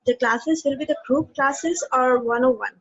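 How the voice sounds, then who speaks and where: A woman on a video call asking politely about the classes in halting English. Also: sits high, husky. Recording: muffled video call audio.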